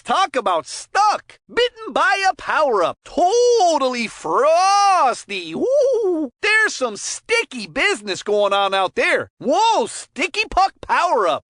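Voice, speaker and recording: Goofy hyped up kid hockey announcer for a cartoon game, high voice, folksy n braggy, takes their time. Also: female voice, a bit bright, no room sound, studio quality, full character voice. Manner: folksy, gushing, exclamatory, windbag chattiness. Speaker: sports commentator. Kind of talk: animated cartoon game play-by-play commentary.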